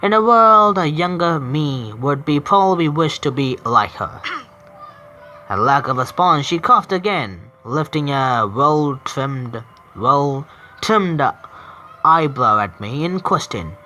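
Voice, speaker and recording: A man streaming a visual novel game, reading the narration aloud haltingly, stumbling over words and correcting himself. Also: a little high, expressive, bright, forceful tone, slight background noise, poor audio.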